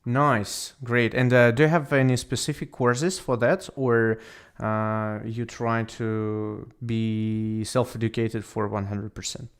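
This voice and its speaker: Smooth-voiced man with a Russian accent. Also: mid-range voice, little pitch movement, slow pace. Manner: encouraging, positive. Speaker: host of an English speaking club. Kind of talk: casual online conversation.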